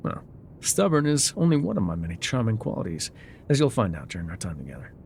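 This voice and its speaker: An actor playing a gruff, guarded male trail guide in an immersive audio roleplay, with wry, folksy, laconic phrasing. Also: fairly low pitch, huge pitch swings, fast, a little mellow, a little hiss or hum, full character voice. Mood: guarded, dry. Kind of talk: immersive ASMR roleplay acting, talking to the listener.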